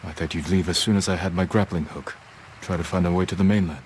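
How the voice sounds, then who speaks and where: An actor playing a determined samurai lord in a video game, earnest, restrained and commanding. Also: male voice, low voice, fairly even intonation, fast, a little mellow, slight background noise, lightly acted. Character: solemn, determined samurai lord leading warriors. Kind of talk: video game cutscene acting.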